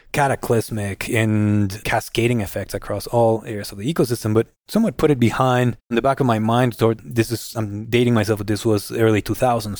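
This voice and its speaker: Man from Guatemala, middle-aged, even monotone delivery, dry close sound. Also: on the low side, no room sound. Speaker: guest, nonprofit executive director. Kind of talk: conversational interview answer.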